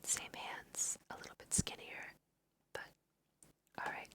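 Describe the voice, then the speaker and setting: A woman speaks entirely in a bright, sharp-edged whisper, with no voiced tone. She takes her time as she gently explains a video game in ASMR style. She has a slight cold, and her delivery stays soft, breathy and unhurried. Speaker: let's play host guiding through a video game.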